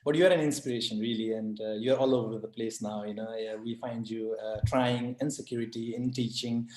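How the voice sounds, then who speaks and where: A man's voice: medium pitch, fairly even intonation, fairly quick, darkish tone. A man from Nepal giving a casual, effusive and earnest testimonial as a former course student. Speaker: IoT solution architect, course alumnus giving testimonial. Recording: roomy echo.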